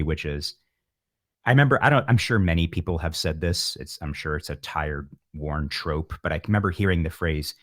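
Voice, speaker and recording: A man's voice: rumbling low, fairly bright tone, no room sound, studio quality. A man speaking as a musician guest in an interview, reflective and earnest, taking discursive, self-deprecating detours as he explains himself.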